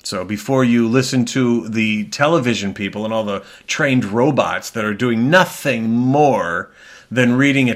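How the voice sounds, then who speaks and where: A man hosting a talk show in a casual, rambling way, often correcting himself mid-sentence. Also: mid-range voice. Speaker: podcast host. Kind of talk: casual chat.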